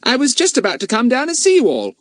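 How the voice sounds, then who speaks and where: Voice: mid-range voice, huge pitch swings, fast talker, dry recording, clean studio sound, pitched above the performer's own voice. A narrator voicing a confident, breezy boy who leads his friends in a storybook. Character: cheerful, confident boy leader.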